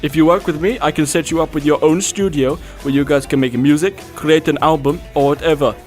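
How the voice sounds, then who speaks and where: Man playing a highish-voiced, persuasive music producer. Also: fairly even intonation, fairly quick, lightly acted. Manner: salesman-like. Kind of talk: children's story character acting.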